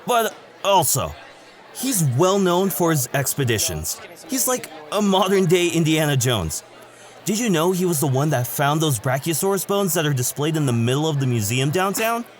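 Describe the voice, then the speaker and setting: A man's voice: fairly high pitch, big pitch swings, moderate pace. A man playing an eager, awkward male student in a casual, chatty audio drama style. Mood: excited. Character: excitable, awkward male student, lovelorn new kid.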